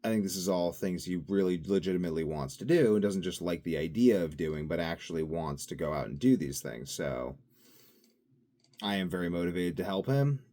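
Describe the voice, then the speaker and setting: A man's voice: deep voice, lively intonation, a little fast, warm tone. A young man in a casual daily video diary, rambling and upbeat with lots of fillers and restarts. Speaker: vlogger.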